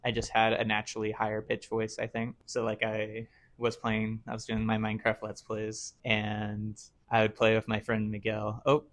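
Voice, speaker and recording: A man in his twenties speaks in a lowish voice that stays fairly flat in pitch. He muses casually and at length, with plenty of fillers and restarts, turning his thoughts over as he goes. He is recorded at home in a relaxed vlog setting. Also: moderate pace, few pauses. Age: 20s. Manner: rambling, filler-heavy, self-reflective. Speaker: YouTuber.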